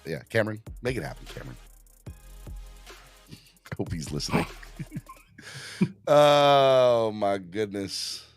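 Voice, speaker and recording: A man hosting a casual live sports fan podcast, urging viewers to subscribe, with his voice just back after being hoarse. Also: faint music behind. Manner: animated, jumps into high put-on voices for quick bits. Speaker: podcast host.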